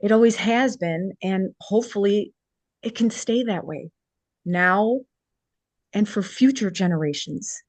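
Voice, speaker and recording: A woman's voice: medium pitch, a little slow, a little mellow, no room sound, close to an everyday voice. An actress reading a hopeful, persuasive small-town woman in a holiday romance table read. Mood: hopeful, persuading.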